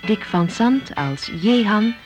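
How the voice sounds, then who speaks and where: A woman's voice: mid-range voice, lively intonation, takes it slowly. A woman reading scripted radio drama narration and credits as announcer. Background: music.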